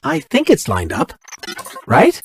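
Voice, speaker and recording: A man's voice: extremely high pitch, wildly swooping pitch, slow pace, creaky, a little hiss or hum, heavily acted. A man playing a small, talkative robot companion in a video game, with an anxious, wry, quippy manner.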